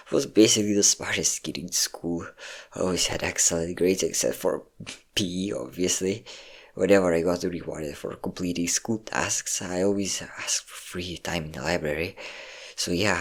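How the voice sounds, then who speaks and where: A low-pitched young adult played by a performer as a depressive best friend in an audio roleplay. The delivery is halting and confiding, full of insecurity and emotion, as if speaking privately to someone deeply trusted. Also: husky. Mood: bitter, downcast. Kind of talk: intimate roleplay audio drama monologue.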